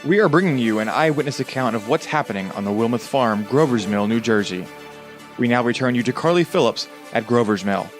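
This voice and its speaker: A man's voice: medium pitch, expressive, brisk, over music, lightly acted. A man playing a composed radio news announcer, reading scripted bulletins formally in an old-time radio play style. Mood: businesslike.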